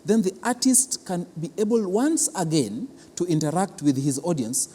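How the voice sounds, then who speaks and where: A man with a Kenyan accent explaining a programme in an interview as an official, deliberate and repeating words for emphasis. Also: high voice, big pitch swings, dark tone, breathy edge. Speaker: cultural centre official being interviewed. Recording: reverberant hall.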